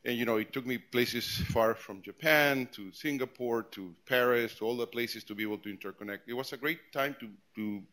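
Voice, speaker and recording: A man's voice: medium pitch, fairly quick, few pauses, a bit bright. A man in his forties from Peru, speaking as a guest speaker, giving a conversational talk with frequent restarts and repeated words. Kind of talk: informal tech talk to employees.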